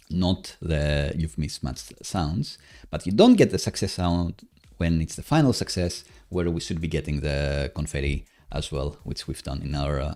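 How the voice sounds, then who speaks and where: A man live-coding on a stream, casually thinking aloud in a hesitant, halting, self-musing way. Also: very deep voice. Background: soft background music, computer keyboard typing.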